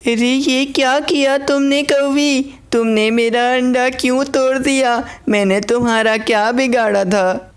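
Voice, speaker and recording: A woman voicing a defensive, excuse-making female bulbul bird in a children's cartoon, in a high-strung, protesting manner. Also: flat delivery, measured pace, few pauses, smooth. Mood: upset. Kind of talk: children's cartoon voice acting in Hindi.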